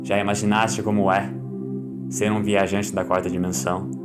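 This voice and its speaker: A man voicing a calm, enigmatic wizard, the lord of dreams, speaking in a measured, erudite way in an audio story. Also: on the low side, voice pushed down, lightly acted. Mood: musing. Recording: music behind the voice.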